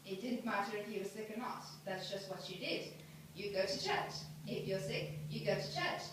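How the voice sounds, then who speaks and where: A woman's voice: mid-range voice, normal speed. Earnest young woman telling her story, heavy echo, mic far off, noisy. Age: 20s. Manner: earnest, plain-spoken, with many fillers and repeats. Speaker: speaker sharing her story. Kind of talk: personal testimony to an audience. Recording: very echoey hall, mic far off, background noise.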